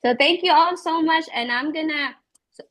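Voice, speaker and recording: A woman's voice: high voice, normal speed, a bit bright. A woman with a Filipino accent chatting casually on a video call, rambling and repeating herself with lots of filler words. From Philippines. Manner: rambling, repetitive, full of "like" and "you know". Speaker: teacher and interview guest.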